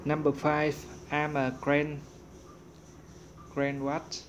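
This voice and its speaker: A man teaching an online English lesson, working through exercises in a slow, methodical way. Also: on the high side, monotone, dull audio, slight background noise.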